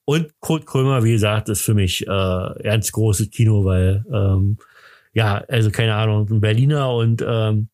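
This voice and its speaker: A man's voice: fairly low pitch, unhurried, darkish tone, no echo, clean studio sound. A man hosting a podcast in casual, chatty talk, rambling enthusiastically with many hesitations and broken-off sentences. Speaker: podcast host.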